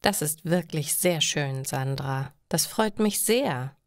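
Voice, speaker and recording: Langsamer, gütiger Vater von einer Frau gelesen. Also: female voice, low-pitched, lively intonation, takes it slowly, dry recording, clean studio sound, full character voice. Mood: reassuring. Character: middle-aged father, kindly, supportive, reassuring.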